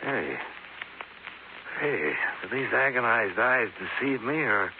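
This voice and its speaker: A man's voice: mid-range voice, expressive, slow. An American man playing a dogged, hard-boiled insurance investigator in a 1950s radio drama, with a clipped, sardonic delivery. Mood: weary, dry. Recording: noisy, tinny 1950s radio recording.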